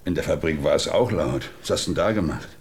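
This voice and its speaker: An actor playing a coarse, scolding crime boss who hands out jobs to a hired killer in a radio drama. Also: male voice, very deep voice, fast talker, slight background noise. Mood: businesslike.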